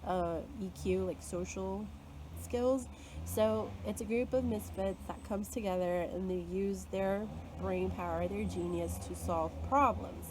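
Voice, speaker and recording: A woman talking alone in a reflective, earnest way, coaching herself and her listeners through fear. She fills her speech with "like" and laughs softly now and then. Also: medium pitch, steady tone, measured pace, noisy background. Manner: earnest, self-coaching, frequent "like" fillers and soft laughs. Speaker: spiritual content creator. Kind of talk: reflective solo talk.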